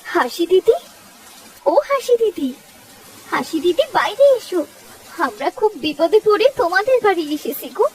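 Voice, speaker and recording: A woman's voice: very high-pitched, sing-song, normal speed, pauses often, a little mellow, a little hiss or hum. A woman voicing an anxious, scolding poor village mother in a cartoon, slightly distant over music. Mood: alarmed. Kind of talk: cartoon voice acting.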